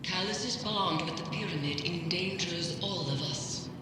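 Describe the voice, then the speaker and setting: A woman's voice: fairly low pitch, heavy background noise, natural voice. Actress as a grave mystic woman in cavernous reverb. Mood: grave warning. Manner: measured, grave, formal delivery. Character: solemn, cryptic mystic woman advising a warrior. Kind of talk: dramatic scripted game dialogue. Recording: cavernous long reverb, loud background ambience.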